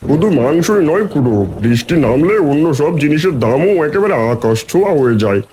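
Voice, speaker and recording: A man's voice: a little high, lively intonation, brisk, a little mellow, natural voice. Man acting a chatty villager, noisy background. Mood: commiserating. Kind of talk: cartoon voice acting. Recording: noisy, with ambient sound.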